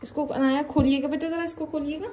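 A woman's voice: sits high, fairly even intonation, quick pace, noisy background. A woman in her thirties, a mother and home cooking vlogger, chatting step by step to viewers as she demonstrates a recipe in her small home kitchen, recorded on a phone held close. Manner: chatty, step-by-step explaining, addresses viewers as guys. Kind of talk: casual cooking demonstration to camera.

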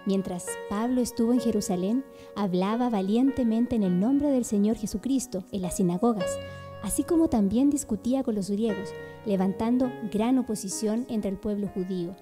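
A woman's voice: mid-range voice, big-room sound. A woman narrating a religious radio drama from a script.

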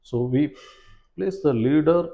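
A man in his forties presenting an instructional fishing demonstration in a measured, step-by-step way, recorded indoors. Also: a little high, creaky, mellow, highs cut off. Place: small plain room indoors, seated at a table.

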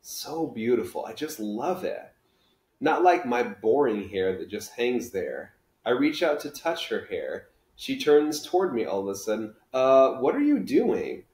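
A man's voice: mid-range voice, big pitch swings, measured pace, darkish tone. A man in his thirties reading a children's book aloud in a casual style, recorded in a living room. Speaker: reader, teacher. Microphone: camera a few feet away. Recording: roomy living-room echo.

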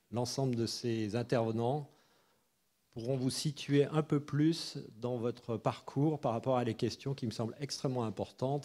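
Older man introducing a speaker, dark voice. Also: mid-range voice. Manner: slow, pausing with fillers. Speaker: professor introducing a guest speaker. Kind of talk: spoken introduction. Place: lecture hall. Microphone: handheld microphone.

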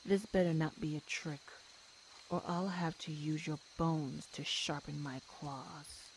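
A woman playing a hungry, teasing feline monster girl in an ASMR audio roleplay, speaking with slow menace, hums and sighs. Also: deep voice, fairly even intonation, a little hiss or hum, poor audio. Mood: suspicious, hungry.

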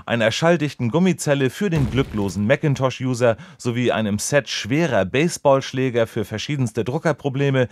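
An actor playing a dutiful, deadpan local radio reporter delivering formal, wordy parody news reportage. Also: male voice, medium pitch, normal speed, few pauses, a little hiss or hum, natural voice.

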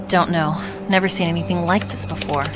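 A woman's voice: on the low side, steady tone, fairly quick, close to an everyday voice. A woman playing a frank, skeptical covert ally in a video game, dry and plain-spoken. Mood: dry, concerned. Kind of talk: video game cutscene acting. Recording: loud music behind the dialogue.

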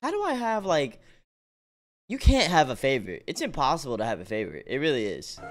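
Animated man reacting casually. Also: medium pitch, fairly quick. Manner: slangy, exclamatory. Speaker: reaction video host. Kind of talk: excited casual reaction commentary.

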